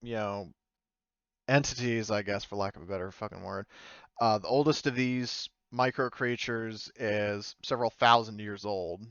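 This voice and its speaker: A man's voice: fairly low pitch, moderate pace, a bit bright, smooth, dry recording, dull audio. A man running a tabletop game as game master, talking informally and swearing casually. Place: close, dry mic in an online game session.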